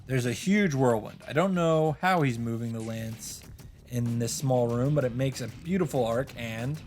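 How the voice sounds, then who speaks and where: A man reading a first-person story aloud in a theatrical, halting way, with dramatic emphasis. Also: mid-range voice, a little mellow, faint music behind. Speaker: streamer reading a visual novel aloud.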